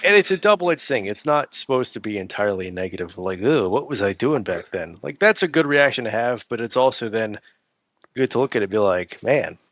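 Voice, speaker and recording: Guy guesting on a podcast, bright forward voice, wry, casual, dry sound. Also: fairly low pitch, animated, a little fast, no echo. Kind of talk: casual interview chat.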